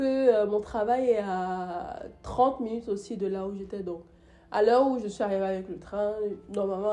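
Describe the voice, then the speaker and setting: A woman's voice: medium pitch, dark tone. A young woman with the flu tells a story about her day in a casual vlog, with many fillers and restarts. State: sick with the flu. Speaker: YouTuber.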